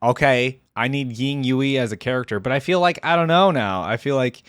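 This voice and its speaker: A man hosting a reaction video with casual, excited commentary. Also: mid-range voice, fairly quick, smooth, dry recording, clean studio sound.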